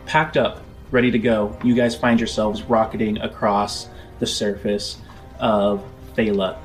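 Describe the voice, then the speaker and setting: A man's voice: on the low side, level tone. Man narrating over background music. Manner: measured, descriptive storytelling. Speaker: game master. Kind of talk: narrating a tabletop roleplaying game. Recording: music under the voice.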